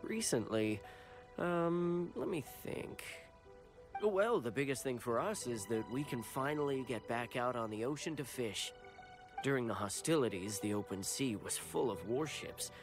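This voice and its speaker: An actor voicing a humble, grateful fisherman villager in a video game, speaking in an earnest, halting way. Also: medium pitch, lively intonation, lightly acted. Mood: earnest, weary. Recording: game music behind the voice.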